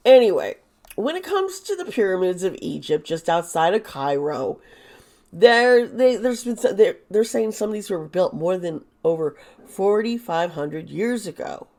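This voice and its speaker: Hoarse, slow-talking woman. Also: on the low side, big pitch swings, darkish tone. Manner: rambling, chatty, sarcastic asides. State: hoarse, losing her voice from illness. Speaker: tarot reader. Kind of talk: casual monologue.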